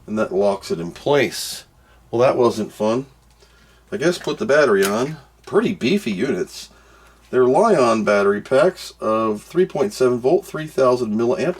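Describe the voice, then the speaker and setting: A man doing casual hands-on product commentary, muttering to himself as he works and reading out screens. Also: mid-range voice. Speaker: product reviewer doing an unboxing and test.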